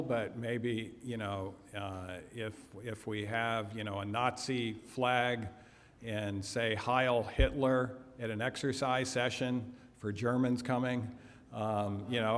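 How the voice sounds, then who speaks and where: A man giving a health lecture and answering audience questions, measured and trailing off softly at phrase ends. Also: lowish voice. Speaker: health seminar speaker. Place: church hall. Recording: echoey hall.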